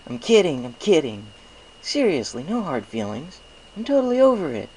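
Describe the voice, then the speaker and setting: A man's voice: fairly high pitch, wildly swooping pitch, moderate pace, darkish tone, slight background noise, voice pushed up, poor audio. A man voicing a cocky young male dragon assistant in a storybook reading, with a breezy, sarcastic manner. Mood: teasing.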